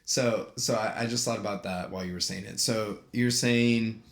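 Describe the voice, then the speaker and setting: A man's voice: on the low side, fairly even intonation, moderate pace. A young man hosting a casual podcast, talking loosely with lots of fillers and restarts and laughing easily. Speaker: podcast host. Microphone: USB desk microphone.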